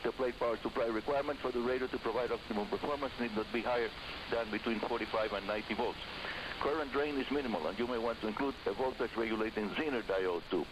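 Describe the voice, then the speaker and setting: A man's voice: mid-range voice, quick pace. An older man hosts a scripted hobby radio program over shortwave, emphatically addressing listeners as friends. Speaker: radio show host. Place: radio studio, heard over shortwave receiver. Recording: hissy, noisy shortwave radio broadcast reception. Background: loud hiss and static.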